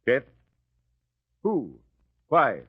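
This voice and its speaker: A man's voice: mid-range voice, wildly swooping pitch, drawn-out words, husky, dry recording, exaggerated voice. An authoritative Roman governor in a biblical radio play. He has a mid-range voice and a brusque, commanding delivery. The sound is dry and a bit dull. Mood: brisk, questioning. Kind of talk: biblical radio drama acting. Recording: dry, slightly dull lo-fi recording.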